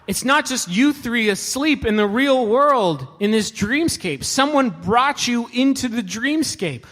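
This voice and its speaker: A man voicing an earnest, excitable young boy detective, announcing things urgently. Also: very high voice, unhurried, pitched above the performer's own voice, heavily acted. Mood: excited, urgent. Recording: echoey hall, live show with music.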